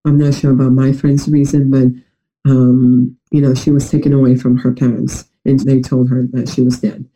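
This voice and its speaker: A man's voice: mid-range voice, little pitch movement, normal speed, dark tone, poor audio. A young man with a New York-like accent and a congested, nasal voice, hesitantly telling personal stories as an interview guest. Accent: New York-like American. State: congested from a recent illness.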